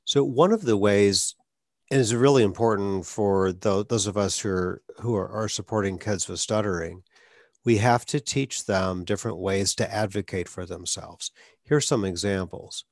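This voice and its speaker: A man with a somewhat low voice hosts the conversation, earnest and encouraging as he gives advice, his speech sometimes catching on stuttered blocks. The recording is dry and close, with no room echo. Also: moderate pace. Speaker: podcast host, speech clinician. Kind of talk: conversational interview.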